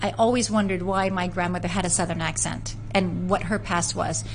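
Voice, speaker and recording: A woman's voice: lowish voice, steady tone, a little fast, faint music behind. A woman from San Francisco, a guest in an interview, telling family history in an earnest, storytelling way with frequent restarts. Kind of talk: conversational interview.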